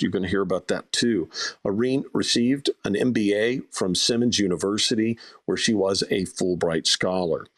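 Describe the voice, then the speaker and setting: A man's voice: fairly low pitch, a bit bright, no room sound. A man hosting a live audio podcast, reading promotions and introducing his guest in an upbeat patter. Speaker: podcast host.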